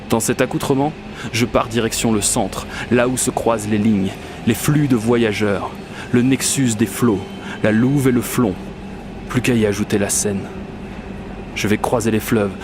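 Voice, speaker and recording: A man's voice: lowish voice, background noise, lightly acted. A man playing an obsessive, whimsical dreamer in a first-person radio fiction monologue, speaking in a confiding, colloquial, self-mocking way. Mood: earnest, absurd. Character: obsessive, whimsical Parisian man confiding a magical commuting quest. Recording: urban and train ambience behind voice.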